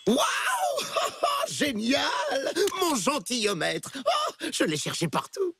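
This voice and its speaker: An actor playing an excitable, kindly, eccentric inventor uncle in a cartoon, with a husky voice and theatrical delivery. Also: male voice, very high voice, very slow, faint music behind, exaggerated voice. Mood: excited, delighted. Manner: exclamatory, theatrical. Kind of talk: French cartoon dubbing.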